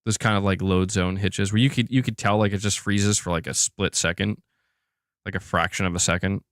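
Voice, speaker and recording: A man's voice: low-pitched, steady tone, brisk, no room sound, clean studio sound. A man hosting a gaming news stream, talking casually and informally as if chatting with viewers. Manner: informal, conversational, responding to chat. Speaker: host of a gaming news livestream.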